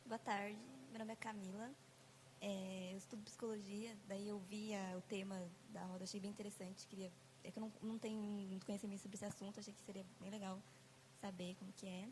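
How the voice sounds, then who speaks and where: Woman, student, flat voice, shy, faint room noise. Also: medium pitch, a little fast, rough recording. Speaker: psychology student.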